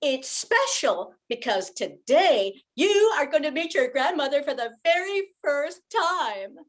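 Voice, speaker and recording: Young widow, anxious and tender, played by an older woman, high voice, vintage radio-drama delivery. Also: sing-song, unhurried. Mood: warm, motherly. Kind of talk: old-time radio drama acting.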